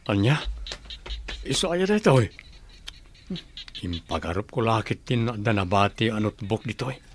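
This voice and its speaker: A man's voice: on the low side, animated, moderate pace, faint music behind, close to an everyday voice. A man acting a troubled, resigned husband and father with failing eyesight in a Filipino radio drama, speaking with a Filipino accent. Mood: weary.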